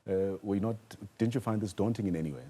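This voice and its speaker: A South African man interviewing a guest on television news, putting questions in halting, deliberate phrases. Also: lowish voice, mellow, slightly rough.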